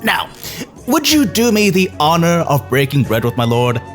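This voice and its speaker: Fawning fantasy gentleman, high voice swinging all over, flowery and pushy, music behind. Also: huge pitch swings, moderate pace. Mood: effusive, ingratiating. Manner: flowery, deferential, chatty and insistent. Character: effusively friendly, fawning gentleman stranger, fantasy hunter. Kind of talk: fantasy audio drama acting.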